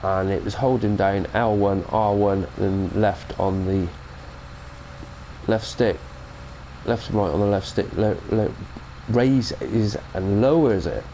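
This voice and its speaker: A man's voice: lowish voice, slow pace, mellow, a little hiss or hum, rough recording. A British man with a cold, giving a chatty, rambling mod review of a farming game and correcting himself as he goes. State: has a cold. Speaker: gaming channel host. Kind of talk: casual mod review commentary.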